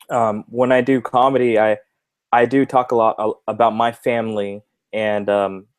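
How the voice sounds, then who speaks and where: A man from the United States answering interview questions casually as a guest, in a halting, hesitant way. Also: fairly low pitch, flat delivery, smooth, no room sound. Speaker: interview guest, stand-up comedian. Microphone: internet call.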